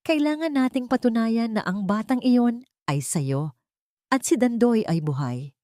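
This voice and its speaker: A woman voicing a calm, protective male lawyer in story dialogue, firm and reassuring. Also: on the high side, unhurried, dry recording, studio quality, voice pushed up.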